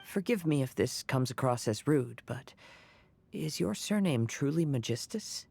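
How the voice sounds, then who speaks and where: A woman voicing a calm, courteous and thoughtful young adventurer in a video game, polite and measured. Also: very deep voice, vocal fry, slight background noise, heavily acted.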